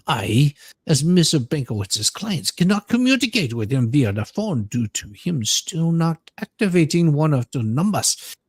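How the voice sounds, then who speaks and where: A man doing a comedic dramatic reading as a pleading female prisoner, with drawn-out, suggestive, theatrical delivery. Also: fairly high pitch, sing-song, slightly rough, no room sound, exaggerated voice. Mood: aggrieved, theatrical. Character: breathy, pleading, suggestively moaning female prisoner writing to judge.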